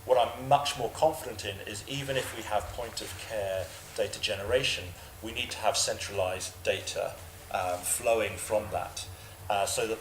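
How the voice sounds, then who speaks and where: Hesitant low-voiced man on a panel. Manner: hesitant, many repeated words. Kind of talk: panel discussion answer. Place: large parliamentary committee room. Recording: echoey room with background noise.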